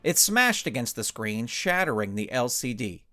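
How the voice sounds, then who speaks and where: A man's voice: mid-range voice, sing-song, moderate pace, sharp tone. A man narrating a scripted comic adventure story as its author and reader.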